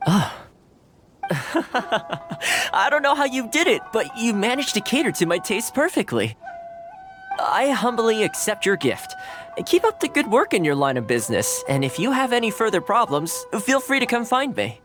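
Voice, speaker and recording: A courteous young male retainer with an easygoing, polite manner and a touch of humor. His voice rises and falls in lively swings, over faint music. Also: high-pitched, normal speed. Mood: friendly. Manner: polite, relaxed, gently humorous. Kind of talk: game dialogue.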